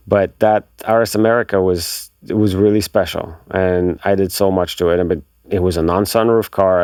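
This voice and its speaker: Low-voiced man telling stories, close and dry. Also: gravelly, darkish tone, dry recording. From Bulgaria. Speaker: podcast guest, car enthusiast. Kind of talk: casual interview storytelling.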